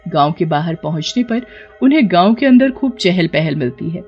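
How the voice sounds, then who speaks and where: A woman's voice: fairly low pitch, expressive, normal speed, dull audio, faint music behind. A woman storyteller reading scripted narration for a tale.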